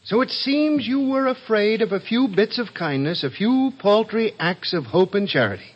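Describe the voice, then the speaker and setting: Strict old radio boss, highish voice that moves a lot, dull lo-fi sound. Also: male voice, expressive, normal speed, breathy edge. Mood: stern. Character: stern male boss passing judgment on his staff. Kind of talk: old-time radio comedy-drama acting. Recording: dull, lo-fi vintage radio recording.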